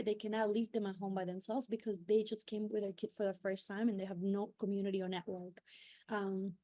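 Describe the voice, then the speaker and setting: A woman's voice: mid-range voice, warm tone. A woman raised in New York City, a youth civic organizer answering on a panel in an earnest, explanatory way with many fillers and restarts. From New York City, United States.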